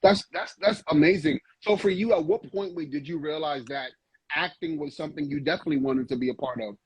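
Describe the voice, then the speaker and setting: A man hosts a relaxed interview and asks questions in a chatty, friendly way. His voice sits high and has a husky, breathy grain. The recording is dry, with no room sound. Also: brisk, no echo, muffled recording. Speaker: interview host. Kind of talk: casual interview.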